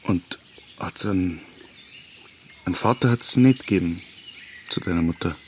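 A man's voice: low-pitched, moderate pace, slight background noise, natural voice. An actor playing a curious, stubborn village man in a radio drama, with a colloquial Bavarian way of speaking. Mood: probing. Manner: questioning, colloquial.

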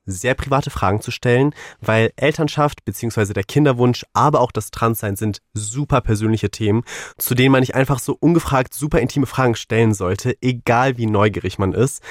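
A man's voice: mid-range voice, no room sound, studio quality. Laid-back young man co-hosting a podcast. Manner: laid-back, sprinkles in English phrases. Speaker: podcast co-host. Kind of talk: casual podcast chat. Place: studio.

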